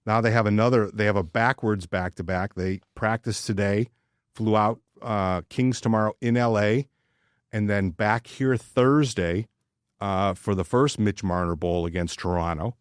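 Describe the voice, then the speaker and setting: A man's voice: lowish voice, moderate pace, clear, resonant tone, no echo, studio quality. A man co-hosting a sports podcast, chatting casually and giving opinions in informal, sometimes profane language.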